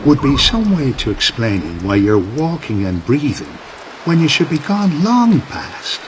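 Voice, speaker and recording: A man's voice: on the high side, wildly swooping pitch, measured pace, background noise. An actor playing a wry, unafraid man who confronts a demon in a video game, teasing and sardonic in manner. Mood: probing. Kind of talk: dramatic video game acting.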